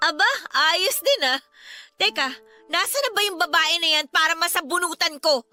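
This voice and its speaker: An actress playing an outspoken, fiery grown daughter in a Filipino radio drama, speaking bluntly and emphatically but with respect. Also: female voice, extremely high pitch, moderate pace, pushed and bright, exaggerated voice. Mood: shocked, irritated. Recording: studio drama with music behind.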